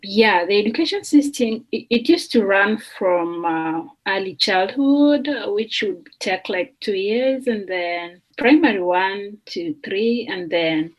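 A woman from Kenya, a doctoral student, talking slowly and thoughtfully with fillers as a guest on a remote podcast interview. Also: medium pitch, lively intonation, low-quality recording. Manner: slow, thoughtful, with fillers and restarts. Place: remote call.

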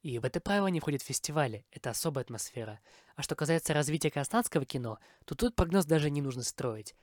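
Higher-voiced man hosting a podcast. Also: lively intonation, fast talker, no room sound, clean studio sound. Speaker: podcast host. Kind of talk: scripted podcast monologue reviewing films.

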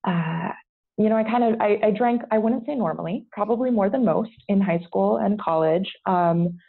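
A woman's voice: mid-range voice, moderate pace, gravelly, slightly rough, phone-quality sound. An American woman telling her personal story as a podcast guest, candid and hesitant, often correcting herself as she goes. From United States. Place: at home, remote podcast call.

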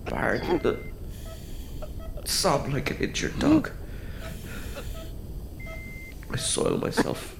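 Gravelly, brooding injured fireman. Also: mid-range voice, wildly swooping pitch, roomy sound, background noise, heavily acted. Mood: anguished. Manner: halting, brooding interior monologue. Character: tormented, bitter injured fire officer confined to hospital. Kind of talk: radio drama monologue.

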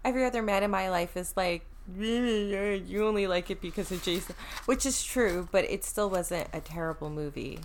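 Female co-host with some vocal fry, casual and reactive. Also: mid-range voice, moderate pace, hardly any pauses, slight background noise. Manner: reactive, chatty, laughs easily. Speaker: podcast co-host. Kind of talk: casual chat.

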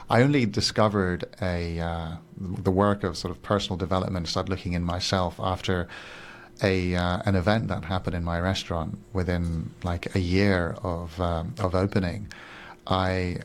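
A Swiss-born man with a very deep, low voice talks things over thoughtfully on a radio show. He speaks softly and often repeats a word as he searches for the next thought. A faint hum of background ambience sits under his voice. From Switzerland. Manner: soft-spoken, thoughtful, repeats words as he gathers thoughts. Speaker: guest coach. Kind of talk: reflective radio interview.

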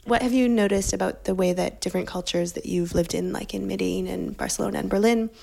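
Woman interviewer, mid-pitched with gravel in the voice, curious and quick to laugh. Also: fairly even intonation, warm tone. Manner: curious, laughs easily, long questions. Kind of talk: interview conversation.

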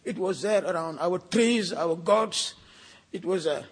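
An older man from Senegal, giving a deliberate, reflective talk and repeating words for emphasis. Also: high voice, husky. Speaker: speaker.

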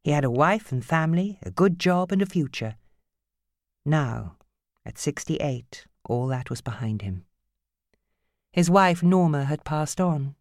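A woman narrates an audiobook in a measured, dramatic way. Her voice has a noticeable creak and a grave storytelling manner. It is recorded very dry, with no room sound. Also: low-pitched, animated, a little mellow, no room sound. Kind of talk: dramatic scripted fiction narration.

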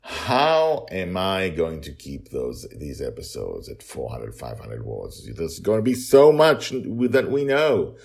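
A man's voice: sits low, huge pitch swings, takes it slowly, vocal fry, hardly any pauses, a little mellow. A middle-aged man hosting his own story podcast, chatting casually and self-mockingly through the outro and credits. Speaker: podcast author and narrator.

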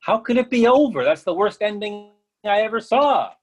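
A man's voice: high-pitched, expressive, normal speed, husky. A man acting an eager, oblivious friend with an insistent, earnest manner in a readers theatre comedy. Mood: indignant. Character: eager, oblivious male friend pushing food, stubbornly cheerful.

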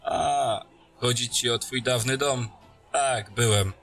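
A man playing a teasing, bright-voiced captain. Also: medium pitch, slow pace, soft background music. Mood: friendly. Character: jokey male captain, old friend. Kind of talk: fantasy audio drama acting.

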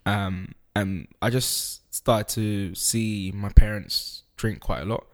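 Easygoing low-voiced man. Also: steady tone. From East London, UK. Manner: easygoing, unhurried, frequent ums. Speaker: podcast guest. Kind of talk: casual interview chat.

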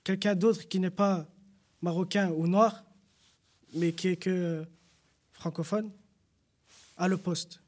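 High-voiced young man, indignant. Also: fairly even intonation, takes it slowly, long pauses, darkish tone. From Belgium. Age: young adult. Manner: earnest, indignant, repeats points with many fillers. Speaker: panel guest. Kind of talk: personal testimony in a panel discussion.

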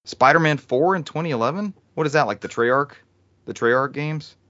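A man's voice: fairly high pitch, moderate pace, pushed and bright, dry recording, dull audio. A man hosting a casual gaming podcast, chatting and reading bits of trivia aloud. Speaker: podcast host.

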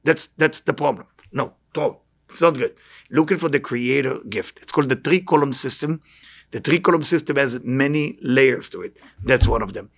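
A man's voice: medium pitch, moderate pace. A man with an Israeli accent giving an inspirational talk as a spiritual teacher, emphatic and repeating short phrases. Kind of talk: inspirational talk with anecdotes to a live audience.

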